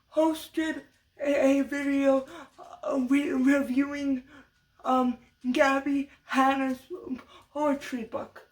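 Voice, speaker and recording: A young woman losing her voice to allergies, talking through an unscripted commentary vlog in a halting way, full of restarts. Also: high voice, poor audio. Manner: halting, many restarts and stutters.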